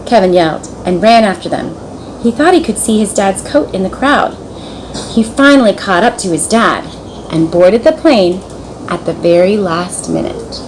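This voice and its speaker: A woman narrating a children's storybook in an expressive, dramatic storytelling manner. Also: medium pitch, normal speed, slight background noise, poor audio. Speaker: storybook reader.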